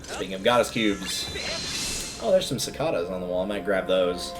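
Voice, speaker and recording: A man with a fairly low voice talks through a video game on a livestream. He rambles casually and thinks aloud as he plays. Music plays behind his voice. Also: expressive, normal speed.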